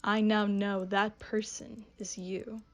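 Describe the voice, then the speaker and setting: A woman's voice: medium pitch, little pitch movement, takes it slowly. A woman voicing a whispering vengeful ghost. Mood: ominous. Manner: hushed, solemn, menacing. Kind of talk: radio drama acting.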